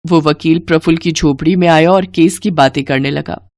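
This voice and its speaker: A woman's voice: low voice, moderate pace, a little mellow, no echo, clean studio sound. A woman storyteller reading scripted narration for a moral storybook tale.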